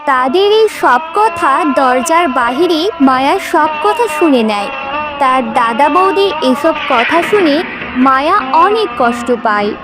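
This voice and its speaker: Female story narrator, scripted children's tale, high voice, loud music bed. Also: animated, measured pace, rough recording.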